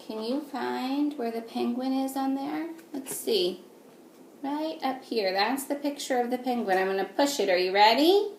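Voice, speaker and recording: A woman teacher reading a picture book aloud to young children, patiently, in a sing-song way, pausing to ask them simple questions. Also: fairly high pitch, darkish tone. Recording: echoey room with faint noise.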